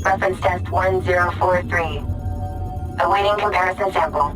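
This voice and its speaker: A woman voicing an impassive, clinical ship computer in a game, with flat, clipped, formal delivery. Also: on the low side, normal speed, over music, close to an everyday voice.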